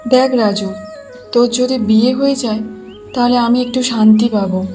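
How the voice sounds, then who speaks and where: A woman voicing a kindly, weary village mother in a cartoon, gently coaxing and advising. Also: fairly high pitch, steady tone, moderate pace, faint music behind, close to an everyday voice. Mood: pleading, weary. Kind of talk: Bengali cartoon voice acting.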